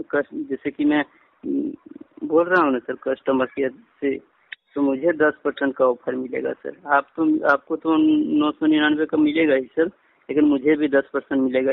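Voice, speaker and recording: A man's voice: fairly high pitch, sing-song, normal speed, vocal fry, dry recording. A man on a recorded phone call, talking as a customer care agent in an insistent, repetitive, persuasive way and politely addressing the listener as sir. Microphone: phone line.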